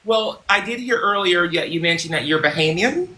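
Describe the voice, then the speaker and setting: Woman host, mock-serious CEO interviewer, pompous, bright tone. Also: lowish voice, expressive, normal speed. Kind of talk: improvised comic roleplay interview.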